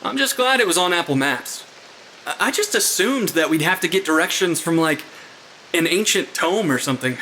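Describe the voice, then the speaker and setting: Young male actor as a jittery, wry supernatural sleuth; bright tone, quippy and faintly pompous, comedy audio drama. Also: a little high, lively intonation, moderate pace, slight background noise, rough recording. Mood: dry, joking. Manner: dry, quippy, slightly pompous. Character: wry, nerdy, jittery man investigating the supernatural. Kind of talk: audio drama acting, naturalistic comedic banter.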